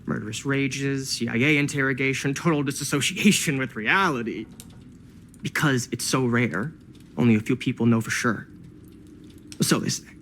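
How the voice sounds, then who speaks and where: An actor playing a slick, pushy film collector in a video game, glib and teasing. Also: male voice, mid-range voice, moderate pace, a bit bright, a little hiss or hum, close to an everyday voice. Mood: knowing, dramatic.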